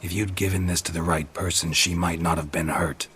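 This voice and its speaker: An actor playing a terse, world-weary mutant monster hunter in a video game, deadpan and blunt. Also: male voice, low-pitched, a little fast, a little hiss or hum. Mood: grim, blunt. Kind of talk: video game character acting.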